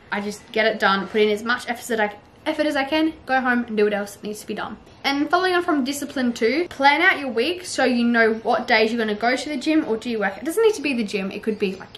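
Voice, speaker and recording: A woman's voice: a little high, fairly bright tone. Chatty young woman vlogging on a patio. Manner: chatty, encouraging, lots of "like" and "you guys". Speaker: fitness vlogger. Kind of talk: casual vlog chat to camera. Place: sunny outdoor patio at home.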